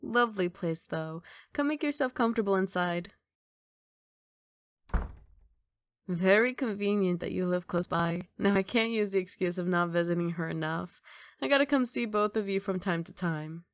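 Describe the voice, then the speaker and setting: A woman acts out a young mafia boss girlfriend with a lowish voice and a very dry, close sound. She is confident and doting, teasing her partner playfully in an intimate one-sided ASMR roleplay. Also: normal speed, smooth, no room sound, natural voice.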